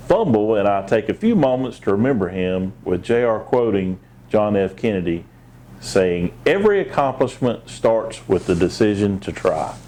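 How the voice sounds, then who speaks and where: Man, low voice, dark mellow tone, children's storybook reading, some background noise. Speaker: assistant police chief, guest storybook reader. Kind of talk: reading a children's picture book aloud with brief asides.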